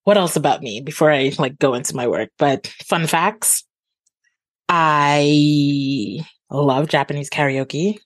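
A woman's voice: sits low, slow pace, no echo. A woman in her forties from Trinidad and Tobago, a guest on an interview podcast, talking openly and laughing often. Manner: laughs often, animated and open. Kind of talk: conversational interview.

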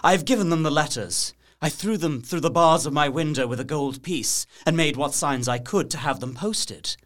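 An actor playing an anxious, resourceful young captive gentleman, narrating his journal carefully and earnestly in an audio drama. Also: on the high side, normal speed, lightly acted. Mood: excited, hopeful. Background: music.

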